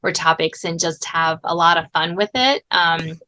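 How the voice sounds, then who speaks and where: A woman's voice: fairly low pitch, steady tone, moderate pace, bright, forceful tone. A woman hosting an interview show, talking in a chatty, informal conversational way. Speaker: podcast host interviewing an author.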